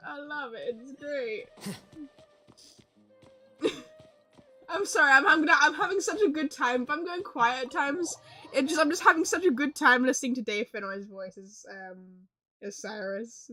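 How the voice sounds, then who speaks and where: A woman gives casual commentary on a game livestream. Her voice is high and bright, swinging freely as she reacts with excitable exclamations. Faint game music plays behind her.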